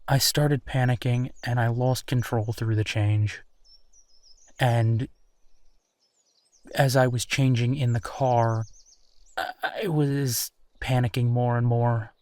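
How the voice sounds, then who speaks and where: Slow-talking, self-deprecating werewolf boyfriend played by a man. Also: mid-range voice, fairly even intonation, no room sound, close to an everyday voice. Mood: sheepish, confessing. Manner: hesitant, self-deprecating, sighing, soft chuckles. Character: embarrassed, gentle male werewolf boyfriend confiding. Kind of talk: intimate roleplay audio drama.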